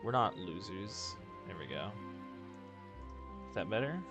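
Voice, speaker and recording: A man's voice: sits low, expressive, unhurried. A man livestreaming a video game in casual, slangy, joking and self-deprecating chat. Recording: game music behind the voice. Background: video game music.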